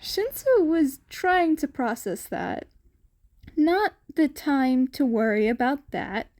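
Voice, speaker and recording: A woman with a notably high voice narrates a piece of fan fiction. Her reading is scripted storytelling, taken slowly and without hurry. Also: lively intonation, a little mellow.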